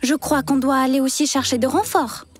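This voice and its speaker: A woman playing a caring, thoughtful young girl with an expressive manner in a children's cartoon. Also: sits high, a little fast, breathy edge. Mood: thoughtful, helpful. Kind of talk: animated children's cartoon dub. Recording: loud music under the voice.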